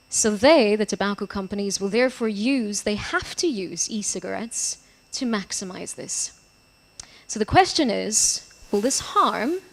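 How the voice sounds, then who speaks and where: A woman's voice: mid-range voice, creaky. Chatty woman giving a conference talk. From Portugal. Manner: chatty, checks in with "okay?". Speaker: conference speaker. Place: conference hall.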